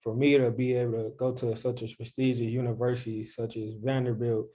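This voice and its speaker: A man's voice: mid-range voice, level tone, a little fast, mellow. A young man from Chicago giving a conversational answer as a panelist. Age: young adult. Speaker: panelist, former youth baseball player.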